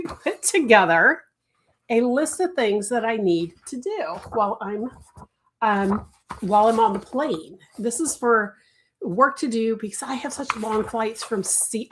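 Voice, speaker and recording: A woman's voice: a little high, a little hiss or hum. A middle-aged woman, Italian as her first language, hosting a casual desk-tour vlog, rambling with many fillers and self-corrections and often laughing lightly mid-sentence. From United States. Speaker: crochet designer and channel host. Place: home workspace.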